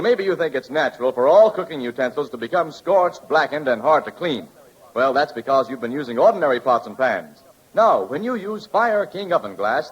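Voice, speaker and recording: A man's voice: fairly high pitch, breathy edge. A man announcing in scripted 1940s radio advertising style, with an emphatic sales delivery. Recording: dull old radio recording.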